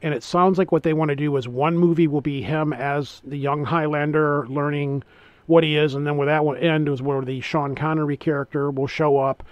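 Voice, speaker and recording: A man with a fairly high voice, recorded close and dry, co-hosting a casual podcast. He rambles through long explanations, restarting and searching for words as he goes. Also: no room sound, lo-fi sound. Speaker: podcast co-host. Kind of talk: casual chat.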